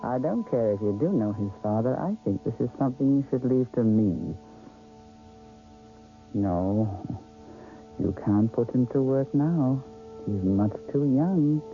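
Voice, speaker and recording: A man's voice: medium pitch, animated, unhurried, long pauses. A man playing a guarded, authoritative supervisor of gifted children in a radio drama, hesitant at times and firm at others. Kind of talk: 1980s radio drama, one side of a conversation. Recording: thin phone-like radio sound, faint music.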